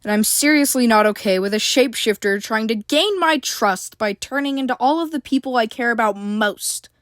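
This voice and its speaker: A woman's voice: on the high side, lively intonation, normal speed, dry recording, clean studio sound, pitched above the performer's own voice. A woman voicing a fierce, protective mother who snaps and growls her lines. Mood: angry. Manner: sharp, snapping, loud.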